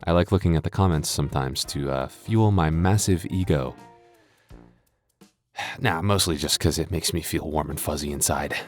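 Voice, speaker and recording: A man's voice: rumbling low, normal speed, soft background music. A man chatting casually and jokingly to his listeners as an audio creator, hesitant and self-deprecating. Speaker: audio roleplay creator and voice actor.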